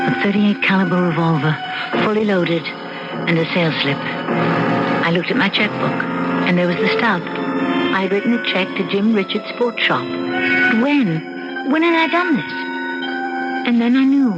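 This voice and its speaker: An actress playing a cool, evasive housewife with murderous schemes in an old-time radio mystery, speaking in a poised, defensive, dramatic way. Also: female voice, medium pitch, lively intonation, moderate pace, pauses often, close to an everyday voice. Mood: haunted, confessional. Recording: dull radio-drama recording with music behind.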